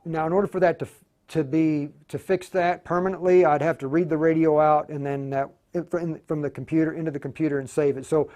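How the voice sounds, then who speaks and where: A man's voice: a little high, steady tone, a little fast, dark tone. A man hosting a casual hands-on livestream tutorial, explaining in an informal, stop-and-start way as he thinks aloud. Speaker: livestream tutorial host, amateur radio operator.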